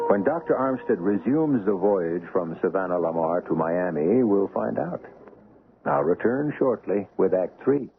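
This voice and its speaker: A man hosting a radio mystery drama with scripted suspense narration, deliberate and full of theatrical pauses. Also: fairly low pitch, vocal fry. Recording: muffled old radio broadcast.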